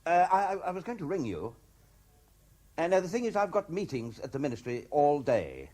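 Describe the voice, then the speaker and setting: A man's voice: a little high, wildly swooping pitch, brisk, warm tone. A man playing a self-important, anxious businessman husband in a radio play, with a pompous manner. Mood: flustered, irritable. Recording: 1970s radio drama recording.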